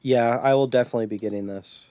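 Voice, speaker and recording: A male podcast co-host with a mid-pitched voice, chatting informally. The sound is dry, with no room reverb around him. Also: fairly even intonation, quick pace, warm tone. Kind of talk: casual retro gaming chat.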